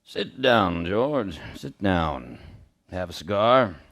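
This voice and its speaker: An actor playing a greedy, scheming old male banker in a radio play, gruff and overbearing. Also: deep voice, sing-song, slow, a bit bright, soft background music, heavily acted. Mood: smooth persuading.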